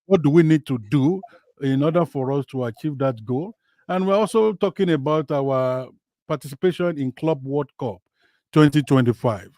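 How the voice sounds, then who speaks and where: A man hosting a live call-in talk show, halting and searching for words as he introduces and questions his guests. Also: on the high side, mellow, dry recording. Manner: hesitant, many fillers and restarts. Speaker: host.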